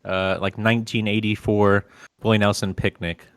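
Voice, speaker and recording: A man with a low voice co-hosts a casual sports podcast. He speaks in a relaxed, easy way and puts questions to the guest. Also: moderate pace, slight background noise. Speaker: podcast co-host.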